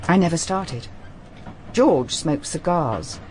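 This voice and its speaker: An actress playing a well-bred, principled English woman detective of middle age in a radio drama, composed, measured and authoritative. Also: deep voice, lively intonation, noisy background. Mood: wry, reminiscing. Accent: upper-class English. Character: well-bred, principled female private detective, diplomat's wife. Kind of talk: British radio drama acting.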